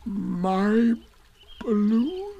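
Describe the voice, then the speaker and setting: A man voicing a gloomy, resigned donkey from a children's storybook, with a ponderous, doleful, deliberate delivery. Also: sits high, big pitch swings, vocal fry, warm tone, breathy edge, lo-fi sound, pitched above the performer's own voice, heavily acted. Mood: crestfallen.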